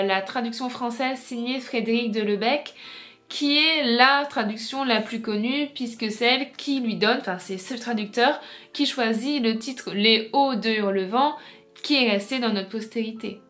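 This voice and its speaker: A woman's voice: a little high, moderate pace, fairly bright tone, soft background music. A woman presenting an informal video essay as a book vlogger, chatty and explanatory, speaking straight to her viewers.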